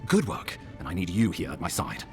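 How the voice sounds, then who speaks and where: An actor playing a concerned imperial official in a fantasy video game. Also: male voice, low-pitched, sing-song, brisk, a little mellow, soft background music. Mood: sympathetic, businesslike. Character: relieved, concerned male imperial official welcoming an envoy.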